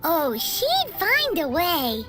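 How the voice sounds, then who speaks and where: Game fairy sidekick: very high childlike voice, excitable and chirpy, lively intonation. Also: female voice, slow, exaggerated voice. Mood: chirpy, opinionated. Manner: chirpy, talks of self in third person. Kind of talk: scripted video game dialogue. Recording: loud game music under the voice.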